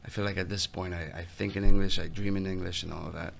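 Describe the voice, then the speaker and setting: This is a young man with an Italian accent, answering interview questions about his music. His voice sits low and has a gravelly edge. He talks in a relaxed, halting way, often trailing off and restarting. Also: slight background noise. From Italy. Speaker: guest musician. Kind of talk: casual interview.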